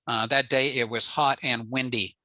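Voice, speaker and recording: A man's voice: medium pitch, fairly even intonation, moderate pace, pushed and bright, dry recording. An older American man giving an informal slide presentation in a matter-of-fact, explanatory way. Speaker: presenter, amateur radio operator. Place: indoors on a video call.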